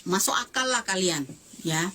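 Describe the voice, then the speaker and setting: A woman's voice: medium pitch, animated, normal speed, pushed and bright, slight background noise. A woman in her fifties, a social media commentator, giving a fiery, emphatic statement that repeats phrases and demands answers. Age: 50s. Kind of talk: impassioned video statement.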